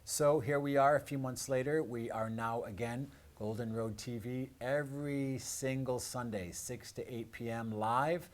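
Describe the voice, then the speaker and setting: A man hosting a live radio and streaming show, speaking off the cuff in a halting way with frequent pauses. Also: medium pitch, fairly even intonation. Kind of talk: unscripted show introduction.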